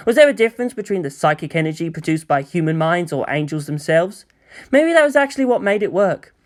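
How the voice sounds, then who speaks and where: A reader narrating a long fan fiction chapter aloud in a steady storytelling manner. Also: mid-range voice. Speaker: fanfic reader.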